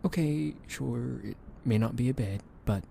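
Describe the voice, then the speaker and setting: A young man in a soft ASMR roleplay, gently soothing and teasing the listener with soft laughs, hesitant fillers and the odd whispered aside. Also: mid-range voice, unhurried, gravelly, slight background noise.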